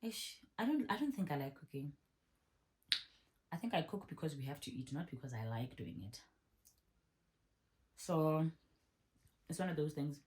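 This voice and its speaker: A woman, chatting casually as she answers viewer questions in a vlog. She talks in a relaxed way and repeats herself for emphasis. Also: low voice, moderate pace, long pauses, a little hiss or hum. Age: 30s. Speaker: vlogger.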